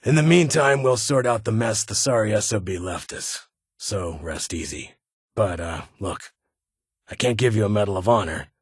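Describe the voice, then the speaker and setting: An actor playing a stern, weary security chief in a video game, with a husky voice and a formal, clipped, authoritative manner. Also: male voice, fairly low pitch, animated, moderate pace, dry recording, studio quality. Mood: urgent, commanding, then sincere, respectful. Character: stern, weary male vault security chief, guarded but honorable.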